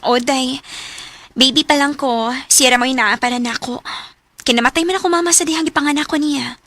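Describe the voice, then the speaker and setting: A woman's voice: high voice, a little fast, pushed and bright, no echo. A woman playing a playful young daughter in a radio drama, speaking with a Cebuano accent. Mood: casual. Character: playful young adult daughter smitten with older man. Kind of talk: Filipino radio drama acting.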